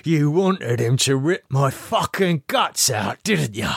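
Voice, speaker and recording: A man voicing a crude, manipulative man who is injured and pleading, shouting and swearing. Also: sits high, expressive, takes it slowly, dry recording, studio quality, voice pushed up, full character voice. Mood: cold hatred.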